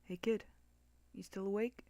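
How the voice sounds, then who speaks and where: A woman's voice: mid-range voice, fairly even intonation, a little slow, mellow, no room sound, close to an everyday voice. A woman voicing a laid-back, joking male skeleton with a protective big-brother streak, in a casual, slangy dramatic reading. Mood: concerned. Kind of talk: dramatic fan-fiction reading.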